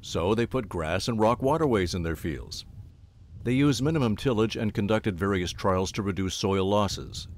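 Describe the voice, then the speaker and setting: A man narrating a documentary in a low voice with a steady, measured delivery. The read is scripted and even, with a faint hiss of noise behind it.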